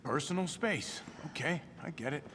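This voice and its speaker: Lively, cocky soldier, acted by a man. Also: fairly high pitch, normal speed, background noise, natural voice. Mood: breezy. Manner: breezy, casual, swaggering. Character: cocky, easygoing young male mercenary soldier. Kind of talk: video game cutscene acting. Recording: loud music behind.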